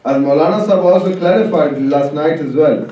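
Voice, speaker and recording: High-pitched male preacher in a very echoey hall. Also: fairly quick, dark tone, far from the mic. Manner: exhortatory, rhetorical questioning. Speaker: Islamic preacher at a religious gathering. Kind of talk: sermon. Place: religious hall, public address.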